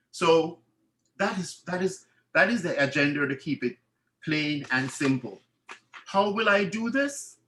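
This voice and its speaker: Earnest man with a bright, echoey voice. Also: a little high. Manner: earnest, formal, restarts phrases. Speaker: board candidate. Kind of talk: candidate speech at a meeting. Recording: echoey room.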